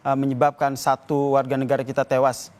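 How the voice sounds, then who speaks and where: A man's voice: medium pitch, monotone, fairly quick, a little mellow. A man presenting a live news interview.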